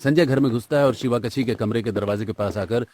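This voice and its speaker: A man's voice: medium pitch, fast, a little mellow. A man reading scripted narration for a cartoon story as its narrator.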